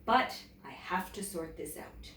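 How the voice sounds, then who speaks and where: A woman's voice: fairly low pitch, lively intonation, unhurried, fairly bright tone, a little hiss or hum. A woman voicing a busy, placating father in a children's storybook, with a soothing, reasonable manner. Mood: apologetic. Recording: roomy, echoey, distant mic.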